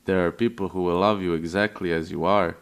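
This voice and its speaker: A man's voice: low voice, fairly even intonation, brisk, clear, resonant tone. A man in his twenties from Serbia, giving a personal testimony hesitantly, with many fillers and restarts. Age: 20s. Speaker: interviewee.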